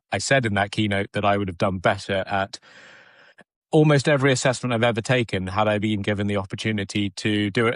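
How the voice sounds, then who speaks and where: A man's voice: on the low side, fairly bright tone, dry recording, studio quality. A man hosting a podcast interview, talking conversationally and thinking aloud with restarts. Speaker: podcast host.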